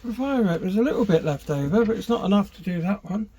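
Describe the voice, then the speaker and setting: A man's voice: high-pitched, animated, quick pace, crowd noise. A man talking casually and offhandedly while helping with a van build. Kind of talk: casual chat.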